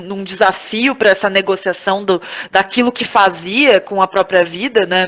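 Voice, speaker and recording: A Brazilian woman giving reflective interview answers, thinking aloud in a halting, searching way with frequent tag questions. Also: mid-range voice, a bit bright. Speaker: podcast guest, playwright, actress and director.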